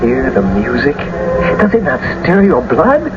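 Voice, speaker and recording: An actor playing a witty, mocking young gallant in a radio drama, flamboyant and teasing. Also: male voice, mid-range voice, huge pitch swings, takes it slowly, loud music behind the voice. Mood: coaxing. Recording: noisy, muffled old radio broadcast recording.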